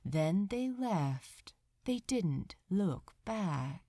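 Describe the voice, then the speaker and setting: A woman reading a story aloud as narrator, with deliberate, dramatic phrasing. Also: on the low side, sing-song, mellow, no echo, studio quality. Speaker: audiobook-style fan fiction narrator. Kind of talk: expressive scripted story narration.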